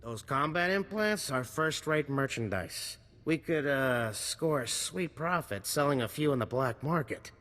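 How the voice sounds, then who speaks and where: Sharp, bright wisecracking arms dealer. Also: male voice, a little high, expressive, a little slow, few pauses, in a large hall. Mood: conspiratorial, wheedling. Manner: slick, sardonic salesman patter. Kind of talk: video game cutscene acting.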